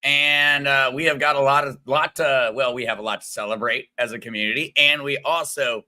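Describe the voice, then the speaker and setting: A man hosting a casual livestream, greeting viewers by name and chatting in a friendly, rambling way with a smooth, radio-like voice. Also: mid-range voice, steady tone, a little fast, pushed and bright. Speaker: crypto livestream host. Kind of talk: casual livestream chat reading viewer comments.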